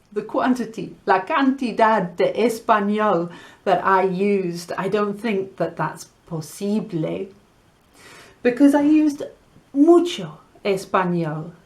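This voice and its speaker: Woman doing story time for kids, slow n deliberate, teachery, drops spanish words into english. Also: mid-range voice. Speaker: storyteller and language teacher host. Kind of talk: children's story reading and lesson chat.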